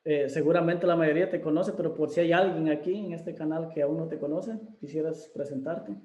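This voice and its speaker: A man hosts a casual video interview, pausing often with fillers and starting his sentences over. His voice sits fairly high for a man, with a dark, mellow tone. The recording has a noticeable roomy echo. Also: fairly even intonation. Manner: hesitant, many fillers and restarts. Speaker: interview host.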